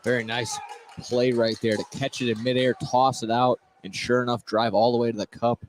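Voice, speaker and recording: A man's voice: medium pitch, little pitch movement, moderate pace, a little hiss or hum. A man working as a color commentator, reacting to live basketball plays in colloquial, conversational phrases. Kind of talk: informal live basketball analysis.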